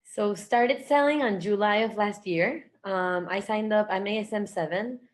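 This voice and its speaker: A woman's voice: mid-range voice, a little slow. A woman guest on an online call, upbeat and casual, using many fillers. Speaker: guest seller. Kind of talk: interview answers.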